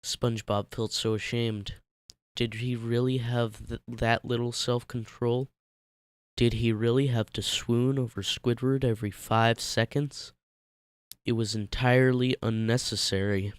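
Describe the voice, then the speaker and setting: A man's voice: medium pitch, flat delivery, measured pace, clear, resonant tone, dry recording. A man narrating fan fiction as a dramatic scripted reading.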